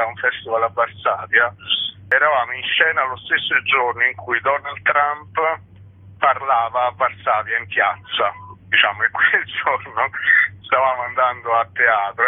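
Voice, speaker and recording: A man's voice: mid-range voice, no echo. A man speaking as an interview guest, a theatre director explaining his work conversationally with many hesitant filler phrases. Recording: tinny phone line.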